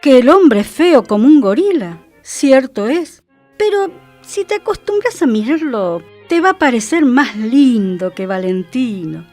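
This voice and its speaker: A woman with a Buenos Aires accent gives a dramatic, expressive literary reading on the radio. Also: sits high, unhurried, faint music behind. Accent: Argentine, Buenos Aires. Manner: expressive, theatrical storytelling. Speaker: radio host and reader.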